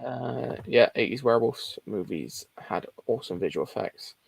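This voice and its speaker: Gravelly man in casual livestream chat. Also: medium pitch, lively intonation, normal speed. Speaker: co-host reading out viewer comments.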